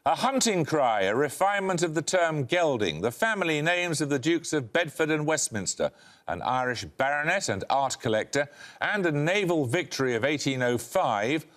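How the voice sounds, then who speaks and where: Male quiz host, dry wit, animated pitch, studio read. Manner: dry, wry delivery with lively intonation. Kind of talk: scripted quiz presenting. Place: television studio.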